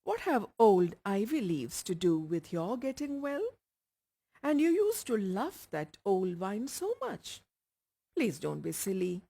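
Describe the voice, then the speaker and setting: A woman's voice: mid-range voice, big pitch swings, slow, gravelly, mellow, slightly rough, heavily acted. A woman voicing a caring, anxious young woman in a story, earnest and coaxing. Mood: coaxing, scolding.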